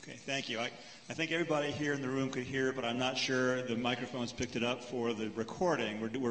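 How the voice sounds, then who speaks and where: A man moderating a lecture Q&A, paraphrasing a question and answering it in a measured, explanatory way, counting off his points. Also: medium pitch, a bit bright. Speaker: panel moderator. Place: lecture hall. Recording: long hall reverb.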